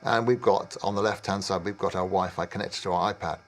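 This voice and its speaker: A man in his fifties presenting product training in a measured, explanatory way with some hesitation. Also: low-pitched, fairly even intonation. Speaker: technical trainer.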